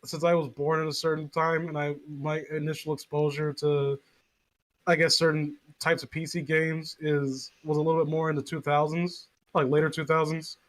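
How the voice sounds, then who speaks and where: A man's voice: a little high, level tone, normal speed, low-quality recording. A man chatting casually with friends as a podcast co-host, rambling, sarcastic and swearing freely.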